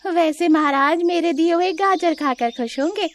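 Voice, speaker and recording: A woman voicing a cheeky, bossy rabbit in a children's cartoon, squeaky and needling. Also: moderate pace, a little hiss or hum. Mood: dismissive. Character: cheeky, bossy rabbit who puts others down.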